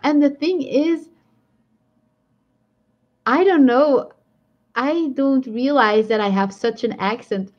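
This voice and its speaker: A woman's voice: sits high, slow, pauses often. A woman with a strong Belgian accent chatting casually on a live stream, rambling and laughing often as she answers viewer comments. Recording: dull lo-fi stream audio.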